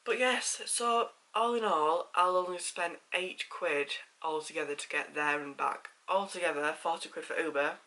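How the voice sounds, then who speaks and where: Man, Yorkshire accent, casual vlog chat, rambling, bright, slightly congested. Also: on the high side, normal speed, few pauses, low-quality recording. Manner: rambling, chatty, many fillers. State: slightly congested, sore throat. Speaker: vlogger.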